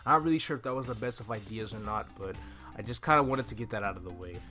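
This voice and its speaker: A man's voice: on the low side, fast talker, pushed and bright. A young man giving an easygoing, casual video game walkthrough, thinking aloud into a close desk microphone. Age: young adult. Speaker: gaming video host. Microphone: desk microphone close to the mouth. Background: game music.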